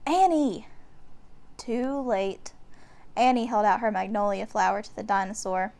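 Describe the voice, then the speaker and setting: A woman's voice: medium pitch, steady tone, normal speed, creaky, darkish tone, clear, resonant tone. A woman narrating a children's book aloud, giving the descriptions dramatic emphasis. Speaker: storyteller.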